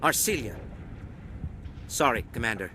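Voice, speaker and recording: An actor playing an earnest, courteous leader of an embattled colony in a sci-fi video game. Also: male voice, animated, unhurried, natural voice. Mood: earnest, weary. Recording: noisy rumbling ambience behind voice.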